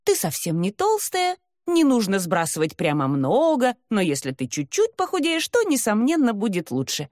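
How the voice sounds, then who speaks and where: A woman's voice: mid-range voice, huge pitch swings, normal speed, hardly any pauses, darkish tone, no echo, clean studio sound. A woman voicing a fussy, nagging mother of a grown daughter, insistent and fretful, in an audiobook. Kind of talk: audiobook character dialogue.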